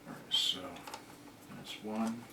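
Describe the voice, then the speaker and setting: Male, low-pitched, unhurried and halting, muttering through hands-on repair work, recorded distant from the mic. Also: fairly even intonation, creaky. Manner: halting, talking to himself while working. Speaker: repair video host. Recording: distant roomy mic, loud noise, clicks and clatter.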